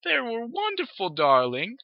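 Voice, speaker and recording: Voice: medium pitch, huge pitch swings, measured pace, no room sound, pitched above the performer's own voice. A reader voicing a condescending mother mare pony who talks down in sugary baby talk, on a dull, dry lo-fi recording. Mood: patronizing.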